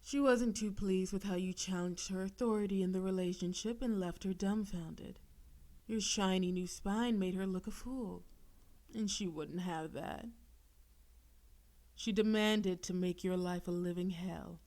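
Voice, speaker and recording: A woman voicing a possessive, seductive female demon in an intimate audio roleplay, teasing and coaxing with theatrical menace, sometimes breathy. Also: medium pitch, unhurried, a little mellow, lightly acted. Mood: mocking, wicked. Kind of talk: intimate audio roleplay, scripted monologue to listener.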